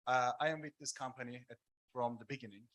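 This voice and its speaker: A man's voice: mid-range voice, fast talker, fairly bright tone. A man panelist speaking hesitantly with many fillers, asking the audience questions. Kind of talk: panel talk.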